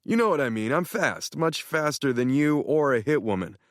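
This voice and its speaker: A man's voice: medium pitch, big pitch swings, moderate pace, fairly bright tone, dry recording, clean studio sound, voice pushed up. A man voicing a boastful, eccentric older man who talks with brash self-assurance.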